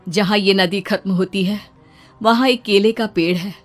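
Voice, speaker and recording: A woman voicing the gentle ghost of a dead mother in a cartoon, calmly giving directions. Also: medium pitch, normal speed, soft background music, close to an everyday voice.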